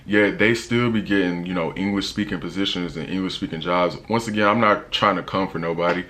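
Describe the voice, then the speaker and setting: A young man with a deep voice, talking casually in a travel vlog with a laid-back, slangy manner and lots of fillers. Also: a little fast, a bit bright. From United States. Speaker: travel vlogger.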